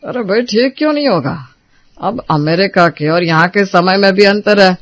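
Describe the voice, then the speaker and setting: A man playing an affectionate, reassuring middle-aged father in a Hindi family drama, speaking gently to his family. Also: sits high, moderate pace, no room sound, clean studio sound.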